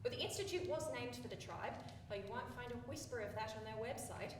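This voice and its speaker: Casting: actress for chatty, earnest female stage devotee, higher register; distant, noisy theatre recording. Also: lively intonation, quick pace, very echoey, close to an everyday voice. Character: earnest, chatty female devotee, Moonseed, showing a newcomer around. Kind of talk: live stage play acting.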